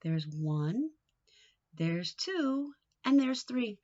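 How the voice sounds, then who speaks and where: A woman reads a picture book to small children in a high, very animated voice. She takes her time, lilting through the words and coaxing young listeners with questions. The recording is slightly dull and lo-fi. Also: extremely slow. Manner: expressive, sing-song, coaxing with questions to children. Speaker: read-aloud teacher for young children.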